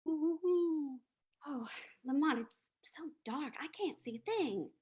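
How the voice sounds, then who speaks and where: A woman's voice: high-pitched, animated, fairly quick. A woman playing an anxious, loyal young lady companion to a detective, exclamatory, in an old-time radio play.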